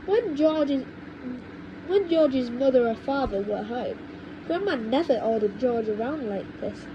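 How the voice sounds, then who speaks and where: A woman narrating a children's storybook aloud, halting now and then to reread and correct her words on a low-quality home microphone. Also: expressive, lo-fi sound, noisy background. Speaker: audiobook reader. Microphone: cheap home microphone.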